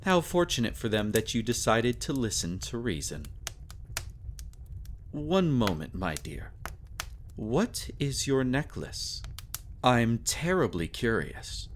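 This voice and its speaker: A man's voice: mid-range voice, sing-song, slow pace, long pauses, a little hiss or hum. A man playing a suave, sadistic vampire lord in a roleplay audio, speaking in a theatrical, courtly and teasing way with seductive menace. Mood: smug, probing. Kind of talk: scripted roleplay audio, intimate dramatic monologue.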